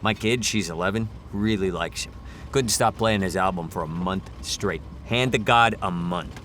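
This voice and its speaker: A deep-voiced, chatty cabbie in an audio drama. He rambles in a folksy, colloquial, hesitant way, like a harried dad, with car and street noise clearly behind him. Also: moderate pace, fairly bright tone. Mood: rueful, folksy. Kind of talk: naturalistic, casual dramatic chat.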